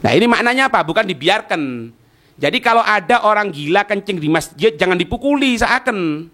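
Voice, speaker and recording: A man's voice: sits high, very animated, brisk, a bit bright. A man with an East Javanese accent giving an informal religious lecture as an Islamic teacher, explaining point by point and asking and answering his own questions. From East Java, Indonesia. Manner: asks and answers own questions, checks listeners understand.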